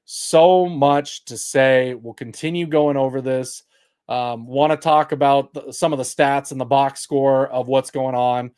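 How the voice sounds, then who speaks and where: A man hosting a post-game sports livestream, with a loud, projecting voice, heated and exasperated as he breaks down stats for his chat. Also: medium pitch, steady tone, unhurried, clear, resonant tone. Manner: reads stats aloud to chat. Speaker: sports talk host.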